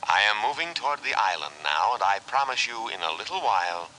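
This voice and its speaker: A deep, piercingly bright robot voice, officious and coolly menacing in its care. It speaks in precise, unemotional sentences, as in 1950s sci-fi radio drama, on a slightly hissy old recording. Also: male voice, expressive, fairly quick, low-quality recording. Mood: calm menace. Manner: formal, precise, unemotional delivery. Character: calm, officious war robot boat, menacingly solicitous.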